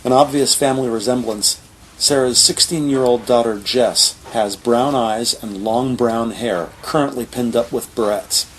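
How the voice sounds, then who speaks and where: A man's voice: medium pitch, a little slow, a little hiss or hum, poor audio. A man reading scripted descriptive narration for an audio drama.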